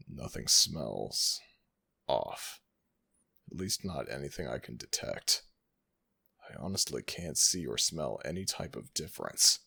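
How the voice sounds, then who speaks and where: A man voicing an ancient male jackal god who is proud and teasing, in a husky voice with slow, formal speech, for an ASMR audio roleplay. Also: very deep voice, sharp tone, full character voice. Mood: puzzled. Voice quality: gravelly, husky. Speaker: voice actor.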